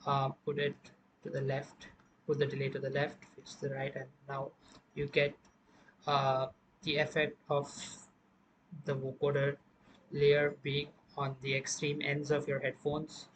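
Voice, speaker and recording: A man presenting an informal music production software tutorial, explaining step by step in a halting way. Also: medium pitch, monotone, background noise.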